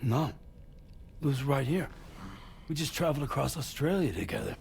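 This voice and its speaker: An actor playing an anxious, defensive grieving father in a video game, speaking in terse, halting bursts. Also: medium pitch, animated, vocal fry, darkish tone, a little hiss or hum. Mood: defensive, disbelieving. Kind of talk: video game cutscene acting.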